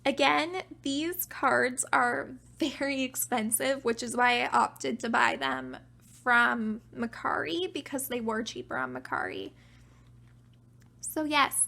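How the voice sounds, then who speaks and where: A young woman casually talking through her collection, chatty and self-deprecating, her voice getting raspy from talking a while. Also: high voice, sharp tone. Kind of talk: casual show-and-tell narration.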